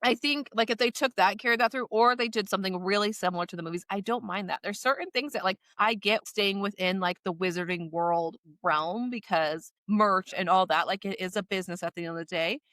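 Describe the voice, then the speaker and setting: An American woman with a bright, forward voice chats casually as a podcast co-host. Her pitch is average for a woman and the sound is close and dry. She speaks loosely, hedging and dropping in "like" as she goes. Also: a little fast, hardly any pauses, no echo. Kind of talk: casual chat.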